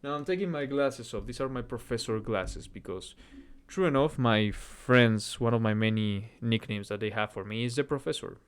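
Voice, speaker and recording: Male host, Mexican accent, mid-pitched, earnest reflective chat with self-mocking asides. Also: moderate pace, clean tone. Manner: stops to correct his own wording. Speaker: channel host. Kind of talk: conversational video essay.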